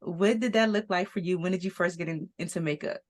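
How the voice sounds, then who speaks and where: A woman hosting a beauty podcast, chatting casually with her guest in a relaxed, conversational way. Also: fairly low pitch. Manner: relaxed, conversational, quick asides. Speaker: podcast host. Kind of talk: casual interview chat.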